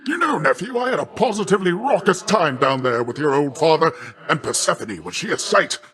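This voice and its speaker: Grandiloquent, hearty sea god uncle, higher-pitched. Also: male voice, expressive, fairly quick, fairly bright tone. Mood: expansive, amused. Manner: grandiloquent, hearty, old-fashioned phrasing. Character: boisterous, boastful sea god, a jovial doting uncle. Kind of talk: theatrical video game character voice acting.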